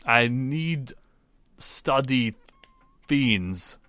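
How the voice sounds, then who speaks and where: Tabletop game role: man as massive blunt outsider, terse and halting, unhurried, mid-pitched, suspicious of everyone. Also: expressive, bright, forceful tone, clean tone, dry recording, full character voice. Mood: wary. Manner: terse, halting, broken phrasing. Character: huge, blunt, wary foreign brute of few words.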